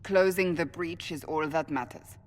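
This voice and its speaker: An actress playing a blunt, resolute warrior in a fantasy video game, formal and firm. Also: female voice, low-pitched, moderate pace, lightly acted. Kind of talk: video game dialogue acting. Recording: echoey hall, faint noise.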